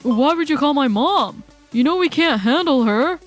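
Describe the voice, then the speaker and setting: A cowardly, lazy divorced father played by a woman in a manga dub. The voice is high-pitched, whiny and flustered, given to pleading, over faint music. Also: animated, moderate pace.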